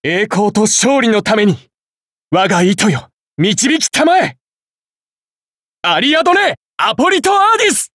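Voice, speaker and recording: Breathy young hero swordsman. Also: high-pitched, big pitch swings, unhurried, long pauses, no room sound, clean studio sound, heavily acted. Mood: fervent, commanding. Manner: soft-spoken, polite, turning to fervent battle cries. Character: young male Greek hero swordsman, gentle, hesitant, dutiful. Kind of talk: anime mobile game battle voice lines.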